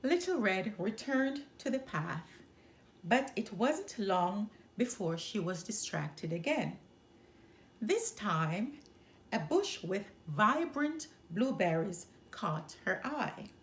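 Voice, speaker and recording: A woman reading a children's story aloud as a storyteller, in an expressive narrating style. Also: medium pitch, slow pace. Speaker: storyteller and channel host.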